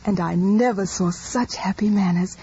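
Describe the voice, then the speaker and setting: A demure, lovestruck young gentlewoman played by a woman speaks gently in a radio costume drama. Her voice is mellow and medium in pitch, on a dull recording. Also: normal speed, slight background noise, natural voice. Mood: tender. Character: sweet, modest, smitten young gentlewoman. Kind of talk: period radio drama acting.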